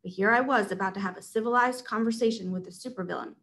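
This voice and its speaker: A woman's voice: medium pitch, quick pace, creaky, fairly bright tone. A woman reading a children's story aloud as its narrator. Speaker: read-aloud narrator at a school.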